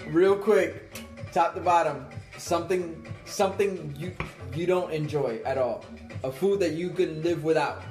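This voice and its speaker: A man's voice: on the high side, unhurried, a little mellow. A man hosting a podcast, signing off in an upbeat, promo-style way. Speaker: podcast host. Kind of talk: casual chat and show sign-off. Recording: music playing behind.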